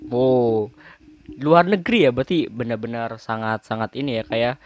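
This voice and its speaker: A man's voice: medium pitch, moderate pace, muffled recording. A man hosting a casual podcast, chatty and full of questions, often adding tag words. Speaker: podcast host.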